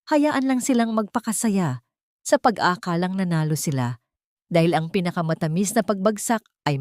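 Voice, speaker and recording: A woman's voice: lowish voice, darkish tone, dry recording, studio quality. A woman reading scripted dramatic narration as the storyteller of an audio drama.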